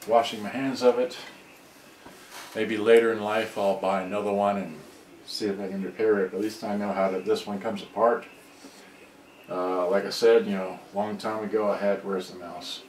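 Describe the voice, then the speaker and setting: Low-voiced man, distant in an echoey room. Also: flat delivery. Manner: halting, rambling, thinking aloud. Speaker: hobbyist electronics repairer on a livestream. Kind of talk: unscripted casual live demonstration. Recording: distant mic, echoey room, background noise.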